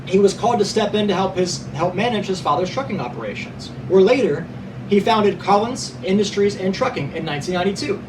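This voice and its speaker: A man's voice: high voice, expressive, a little fast. A young male podcast host reading prepared news notes, sometimes stumbling and correcting himself, with casual asides to listeners. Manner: reads from notes, stumbles and corrects himself, stresses being unbiased. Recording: distant mic with clear background noise.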